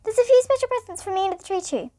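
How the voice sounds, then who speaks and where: Jolly old gift-giver, played by a female reader, high voice, lively pitch, kindly, storybook. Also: rapid-fire, dry recording, clean studio sound. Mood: kindly, reassuring.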